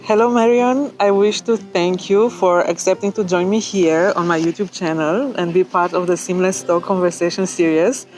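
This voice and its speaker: A woman hosting an interview, asking questions in a polite, formal and measured way. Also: fairly low pitch, fairly even intonation, a little mellow, soft background music, poor audio. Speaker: interview host.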